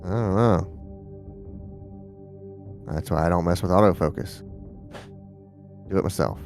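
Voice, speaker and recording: A man's voice: low-pitched, a little slow, a little mellow, faint music behind. A man hosting a live stream, chatting casually and muttering to himself while he sets up. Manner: mumbling asides while setting up. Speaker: streamer host.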